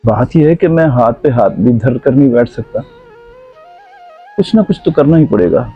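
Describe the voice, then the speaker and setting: A man's voice: mid-range voice, animated, moderate pace, husky, narrow, tinny audio, soft background music. A man playing a poor, honest middle-aged tailor and father driven to beg, plaintive and wounded, in an amateur moral drama. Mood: pleading, despairing. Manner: plaintive, pleading. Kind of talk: amateur moral short-film acting.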